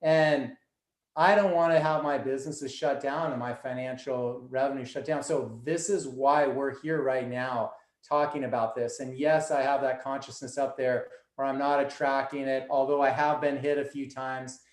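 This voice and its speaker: A man's voice: medium pitch, steady tone, normal speed, reverberant. A man as a podcast guest, talking earnestly and loosely, with lots of fillers. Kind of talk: casual conversation.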